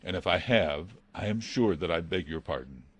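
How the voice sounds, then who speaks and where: Low-voiced, deferential butler played by a man. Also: expressive, moderate pace, fairly bright tone, breathy edge, no room sound, heavily acted. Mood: hurt, apologetic. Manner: hesitant, formal, respectful. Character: deferential, anxious male butler, pleading and loyal. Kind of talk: dramatised reading of a classic novel.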